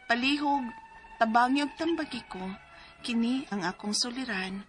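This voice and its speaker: Actress as worried Cebuano mother, high bright voice, emotional, short-tempered, radio drama, music behind. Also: normal speed, lightly acted. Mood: worried, pleading. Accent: Cebuano Filipino. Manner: emotional, exclaiming. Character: anxious, short-tempered mother pleading over her sick child. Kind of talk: Philippine radio drama acting.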